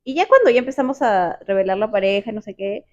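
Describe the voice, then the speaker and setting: A woman from Peru with a Peruvian accent, telling a personal story in casual chat, animated and quick to repeat words. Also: mid-range voice, lo-fi sound. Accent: Peruvian Spanish.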